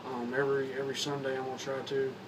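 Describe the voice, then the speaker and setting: A young man from Kentucky talks in a Southern accent, his voice a little hoarse from a cold. He rambles in an even, flat tone, restarting his sentences often. He sits back from a camera mic, with steady noise humming behind him. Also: medium pitch, far from the mic. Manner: rambling, halting with repeats and fillers. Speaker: vlogger. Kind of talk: casual talk to camera. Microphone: phone or camera mic.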